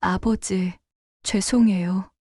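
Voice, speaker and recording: A woman's voice: medium pitch, steady tone, takes it slowly, darkish tone, no room sound, studio quality. A woman voicing a meek, anxious grown daughter apologizing hesitantly in a dramatized story. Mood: contrite, meek.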